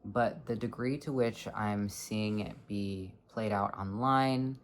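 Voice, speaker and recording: Low-voiced young adult, earnest and halting. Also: steady tone, a little hiss or hum. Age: 20s. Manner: earnest, halting, searching for words. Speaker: vlogger. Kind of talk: personal reflective monologue.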